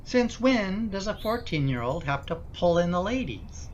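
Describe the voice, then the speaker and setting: A woman's voice: mid-range voice, big pitch swings, moderate pace, a little mellow, slight background noise, natural voice. A woman playing a harried middle-aged husband and father in a radio play, in a hesitant, easily exasperated manner. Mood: exasperated, indignant. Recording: dull, muffled recording.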